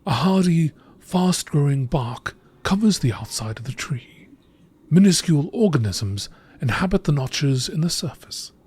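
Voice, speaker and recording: A man reading scripted text aloud in a measured nature documentary style, as an amateur narrator. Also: a little high, lively intonation, darkish tone, slightly rough.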